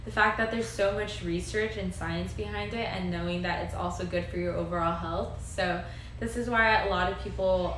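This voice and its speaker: A woman's voice: on the low side, a bit bright. Chatty young woman in a very echoey room. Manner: chatty, upbeat, lots of "like" and "um". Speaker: live-stream host. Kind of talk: casual live-stream chat. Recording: very echoey room, faint noise.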